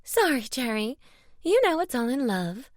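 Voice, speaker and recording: An exasperated older mother grumbles with affection as a woman narrator plays her. Her pitch is raised, and the sound is very dry. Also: big pitch swings, unhurried, dry recording. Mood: fond, puzzled. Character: exasperated, fond older mother, gossiping friend. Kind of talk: audiobook character dialogue.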